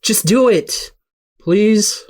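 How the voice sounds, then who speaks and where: A woman playing a rattled, excitable teenage boy with superpowers in an audio drama, speaking emotionally in casual slang. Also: medium pitch, drawn-out words. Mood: anxious, pleading.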